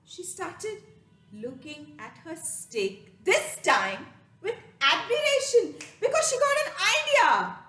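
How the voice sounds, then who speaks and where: A woman with an Indian accent telling a folktale expressively, with dramatic pauses and emphatic repetition, addressing listeners directly. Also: extremely high pitch, sing-song, fairly bright tone. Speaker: storyteller. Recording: echoey room sound.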